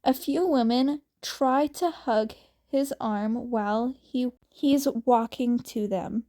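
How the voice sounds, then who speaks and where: A woman reading fan fiction aloud as an amateur narrator, in an informal, slightly halting way. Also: a little high, warm tone. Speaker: amateur podfic reader.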